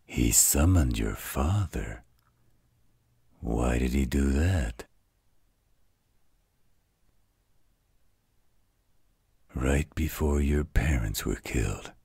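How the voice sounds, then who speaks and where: Very deep, husky demon father. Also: animated, takes it slowly, mellow, no echo, studio quality, exaggerated voice. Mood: tender, soothing. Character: gruff, protective male demon father in a fantasy werewolf tale. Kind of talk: fantasy audio roleplay acting.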